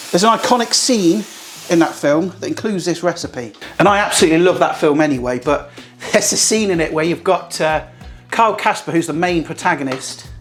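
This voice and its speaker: A man hosting a casual cooking tutorial, chatty and blunt, swearing now and then. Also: a little high. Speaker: cooking channel host.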